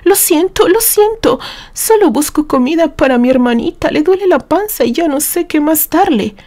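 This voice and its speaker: A woman acts out a worried little boy in an audio story. The voice is raised high and soft-edged. He pleads and apologizes, a protective big brother who sounds small and anxious. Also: animated, moderate pace. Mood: frightened, pleading. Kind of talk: audio story character acting.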